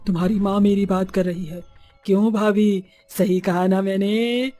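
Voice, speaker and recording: A vengeful female ghost from a horror cartoon, acted by a woman. Her voice is mellow and mid-pitched, with a taunting menace, over faint music. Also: fairly even intonation, moderate pace, natural voice. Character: vengeful female witch, ghost of a wronged young seamstress. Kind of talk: animated horror story dialogue.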